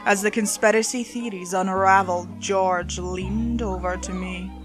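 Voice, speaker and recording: Lady reading a creepy story, unhurried, faint music under her. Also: medium pitch, fairly even intonation. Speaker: narrator. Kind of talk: scripted horror story narration.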